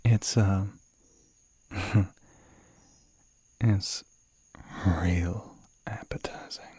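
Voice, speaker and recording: A man playing a suave, flirty male demon in an ASMR roleplay, with a Southern American accent, a breathy, gravelly voice that often drops to a whisper, and a teasing, coaxing drawl. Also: sits low, steady tone, extremely slow, dark tone, no echo, exaggerated voice. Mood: flirty, charming.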